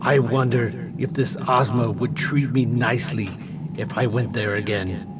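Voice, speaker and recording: A man's voice: mid-range voice, steady tone, measured pace, natural voice. A man playing a kindly old humbug of a wizard in a radio drama, with a formal, wordy, theatrical manner. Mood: wistful. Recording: noisy radio drama recording.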